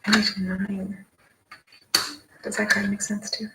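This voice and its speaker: A woman's voice: medium pitch, monotone, unhurried, vocal fry. An American woman chatting casually and rambling, asking and answering her own questions as if talking to herself. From United States. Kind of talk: rambling casual solo chat while playing cards. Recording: very noisy recording with clicks.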